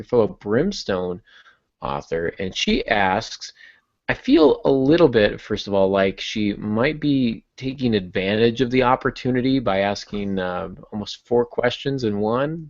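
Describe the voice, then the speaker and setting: A man's voice: medium pitch, no echo. A man hosting a casual writing podcast, rambling along in a self-deprecating way over an online call. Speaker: podcast host and fiction author. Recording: dull, dry, lo-fi online call audio.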